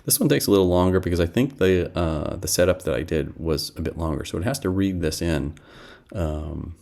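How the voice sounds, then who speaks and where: A middle-aged man giving a casual product demo, halting and thinking aloud as he talks at his desk. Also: deep voice, expressive, vocal fry, darkish tone. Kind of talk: casual screen-share demo talk. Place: small indoor room at home.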